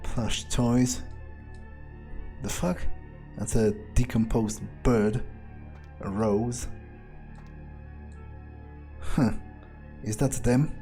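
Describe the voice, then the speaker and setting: A man giving in-character game commentary, musing aloud in a halting, sardonic and profane way. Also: mid-range voice, steady tone, warm tone, faint music behind. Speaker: gamer playing in character.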